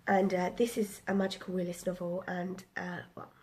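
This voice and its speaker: A woman's voice: fairly low pitch, flat delivery, normal speed, darkish tone, a little hiss or hum. A woman in her twenties chatting casually about the books she read, rambling with lots of ums, a little bunged up. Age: 20s. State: a bit bunged up. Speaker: book vlogger. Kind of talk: casual book review vlog.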